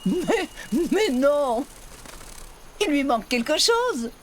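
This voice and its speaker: A woman's voice: high voice, very animated, slow, very noisy, exaggerated voice. A woman voicing a small, friendly, chatty bird in a children's cartoon. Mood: perky, friendly. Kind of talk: children's educational cartoon acting. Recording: loud music behind the voice.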